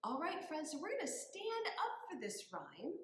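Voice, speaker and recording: A woman's voice: high-pitched, huge pitch swings, normal speed, a bit bright. A woman hosting a children's storytime as a librarian, leading rhymes and stories in an encouraging, sing-song way and speaking directly to the children. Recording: roomy, echoing indoor sound.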